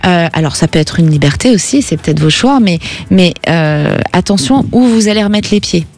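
A middle-aged woman hosting a call-in radio psychic show, advising a caller gently and often adding a little "hein". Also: on the low side, lively intonation, moderate pace. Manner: reassuring, gently advising, frequent tag "hein".

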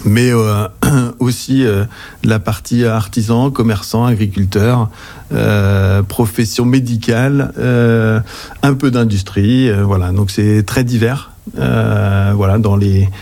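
A man in his forties from western France, with a French accent, giving informative interview answers as a company director in a very hesitant, halting way. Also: fairly low pitch, steady tone, warm tone. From Anjou, western France. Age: 40s. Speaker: accounting agency director, interview guest. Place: interview on site in an office.

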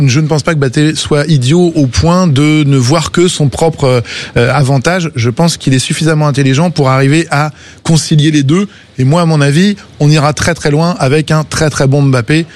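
A man giving football opinions on a radio debate, animated and joking, full of hesitations. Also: on the high side, normal speed. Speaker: football pundit.

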